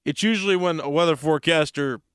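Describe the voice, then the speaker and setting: Higher-voiced American man hosting sports radio. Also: fast, fairly bright tone, clean tone, no echo, clean studio sound. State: slightly hoarse. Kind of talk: conversational sports talk radio monologue.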